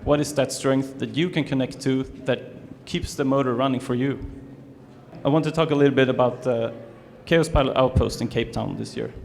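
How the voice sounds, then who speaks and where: Young man from Sweden, gravelly voice, hesitant presenter, long hall reverb. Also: mid-range voice, fairly even intonation, moderate pace, darkish tone. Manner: hesitant, many fillers and restarts. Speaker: student presenter. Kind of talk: presentation to an audience. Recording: reverberant hall, faint ambience.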